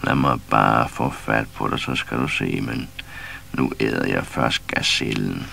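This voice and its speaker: Guy doing a big lazy lion, super low gravelly voice, drags every word, smug and menacing. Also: bright, forceful tone, a little hiss or hum, heavily acted. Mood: smug menace. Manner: slow, drawling, smug. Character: slow hungry male lion, king of beasts.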